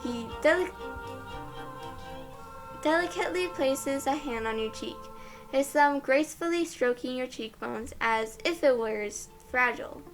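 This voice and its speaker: A young adult woman reading fan fiction aloud in an expressive storytelling style. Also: high voice, measured pace, low-quality recording. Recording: music behind the voice. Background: music.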